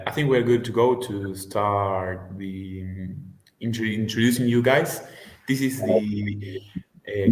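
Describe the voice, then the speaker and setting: Low-voiced male host in an echoey room. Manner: hesitant, filler-heavy. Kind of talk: hosting an online forum. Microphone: video call.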